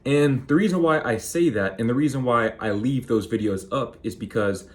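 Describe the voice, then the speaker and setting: A man in his twenties with a naturally monotone voice, a YouTuber giving motivational advice straight to camera and slowing down to stress key words. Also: medium pitch.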